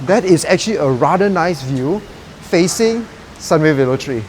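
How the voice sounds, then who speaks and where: A man's voice: a little high, animated, normal speed, a little mellow, a little hiss or hum, poor audio. A young man with a Malaysian English accent chatting casually as he walks viewers through a residential complex on a property tour vlog. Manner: chatty, upbeat, colloquial with "lah" tags. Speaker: property vlogger. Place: outdoors and in a residential complex, walking around.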